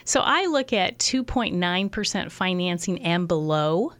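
Woman, radio co-host, friendly explainer, smooth voice, dry sound. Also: fairly low pitch, lively intonation, unhurried, a bit bright, dry recording. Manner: friendly, filler-heavy explaining. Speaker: radio show co-host, financial adviser. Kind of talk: answering callers on a call-in show.

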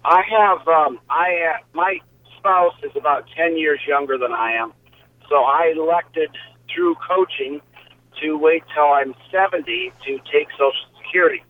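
Man nearly seventy on a phone line. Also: on the high side. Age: 60s. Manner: halting, restarting questions. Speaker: caller. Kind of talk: call-in question on radio. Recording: phone-line caller audio.

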